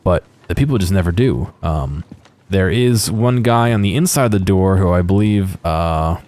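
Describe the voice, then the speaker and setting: A man giving casual, unscripted video game commentary in a hesitant, halting way. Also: low voice, darkish tone.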